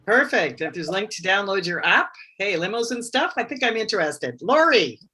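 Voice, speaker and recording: A woman's voice: medium pitch, very animated, normal speed, pushed and bright, husky. Upbeat woman hosting a video meeting. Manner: upbeat, calls on guests by name. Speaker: webinar host. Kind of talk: casual online networking meeting.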